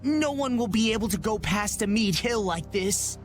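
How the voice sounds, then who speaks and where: A woman's voice: mid-range voice, lively intonation, normal speed, faint music behind, lightly acted. A woman voicing an alarmed young messenger in a video game. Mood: panicked. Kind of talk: dramatic game voice acting.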